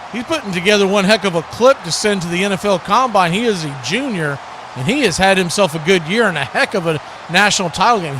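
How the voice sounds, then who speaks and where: An American man giving live football play-by-play in short clipped phrases that build with excitement. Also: high voice, background noise. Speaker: play-by-play announcer.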